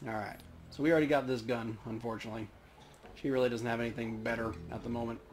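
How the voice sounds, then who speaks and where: A man's voice: mid-range voice, steady tone, fast talker. A man hosting a let's play, casually commenting and reading game text aloud.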